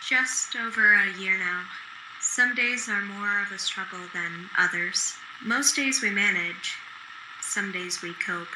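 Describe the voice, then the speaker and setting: A woman playing a gracious, sincere young widowed mother in a radio drama, speaking politely and with a soft heart. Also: mid-range voice, slow pace, natural voice. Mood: sorrowful. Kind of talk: old-time radio drama acting. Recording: echoey room with faint background noise.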